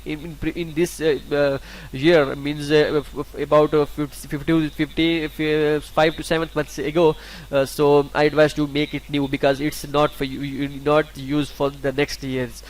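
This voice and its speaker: Halting man. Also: on the high side, low-quality recording. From Pakistan. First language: Urdu. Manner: halting, many fillers and restarts. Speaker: scholarship guide YouTuber. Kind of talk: screen-recorded tutorial walkthrough.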